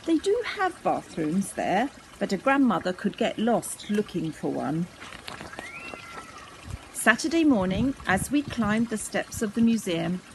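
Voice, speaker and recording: Expressive woman in her sixties reading. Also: mid-range voice, poor audio. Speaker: storybook reader. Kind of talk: children's story read aloud. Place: outdoors in a garden. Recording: faint outdoor background noise.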